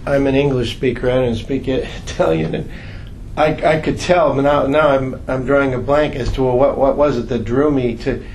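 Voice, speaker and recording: A man's voice: medium pitch, fairly even intonation, moderate pace, darkish tone, slight background noise, rough recording. A man at a committee meeting telling a story, rambling and searching for words. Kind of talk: casual meeting discussion. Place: meeting room.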